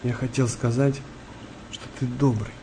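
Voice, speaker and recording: An actor playing a shy, sensitive, worn-out eighteen-year-old sailor in a radio play, confiding in a hesitant, naive way. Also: male voice, medium pitch, steady tone, unhurried, background noise, lightly acted. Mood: shy, wistful. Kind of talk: naturalistic radio play dialogue.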